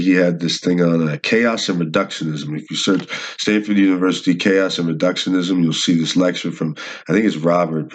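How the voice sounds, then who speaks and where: A man's voice: low voice, breathy edge. A man who reads aloud from a book and adds informal, rambling commentary. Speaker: channel host reading a book. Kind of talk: book reading with casual commentary.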